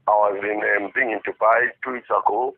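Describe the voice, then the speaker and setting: Husky South African man on a phone line. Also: lowish voice, steady tone, brisk. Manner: code-switches between English and Zulu. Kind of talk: casual phone chat. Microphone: telephone line. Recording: muffled tinny phone-call recording.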